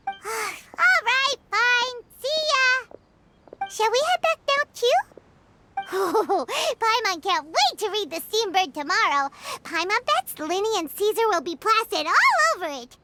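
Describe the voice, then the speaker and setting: A squeaky bubbly fairy sidekick. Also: female voice, big pitch swings, slow pace, noisy background, exaggerated voice. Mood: cheerful. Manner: talks of herself in third person, whiny pleading. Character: tiny female fairy companion, bubbly and pushy. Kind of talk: video game voice acting. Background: game music.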